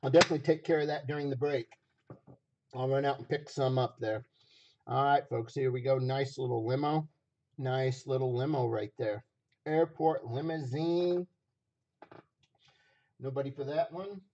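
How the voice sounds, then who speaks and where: A man hosting a livestream auction with folksy, repetitive casual sales patter, his voice rough, raw and a little hoarse. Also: medium pitch, unhurried, pauses often, warm tone, muffled recording. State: slightly hoarse.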